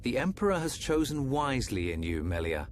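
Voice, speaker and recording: A man's voice: medium pitch, big pitch swings, a little fast, a little hiss or hum, natural voice. A man playing a solemn, supportive royal counsellor in a video game, formal and grave. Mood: solemn, encouraging. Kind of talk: dramatic video game voice acting.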